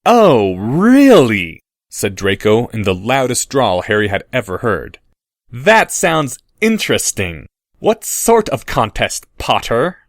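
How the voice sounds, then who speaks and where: A man voicing a haughty boy wizard who talks in a slow, sneering drawl. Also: on the low side, dry recording, studio quality, lightly acted. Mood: loud drawl.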